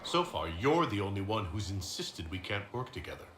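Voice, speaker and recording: An actor playing a calm, direct, questioning male hero in a fantasy video game. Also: on the low side, brisk. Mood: measured, questioning. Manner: direct, questioning, level-headed. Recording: roomy echo, clear background noise.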